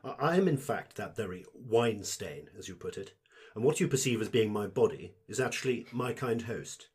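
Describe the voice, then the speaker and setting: An obsequious alien shopkeeper played by a man in a radio play, a fungus speaking through its host. The voice is husky and swings eagerly up and down, wrapped in servile, elaborate courtesy. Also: lowish voice, expressive, moderate pace, a little mellow. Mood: politely explaining. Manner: fawning, formal, over-polite servile patter. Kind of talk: audio drama acting.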